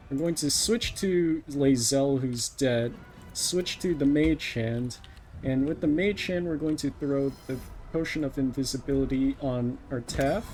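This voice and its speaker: A man's voice: medium pitch, a little mellow. A man giving casual live commentary as a speedrunner, explaining each step as he plays.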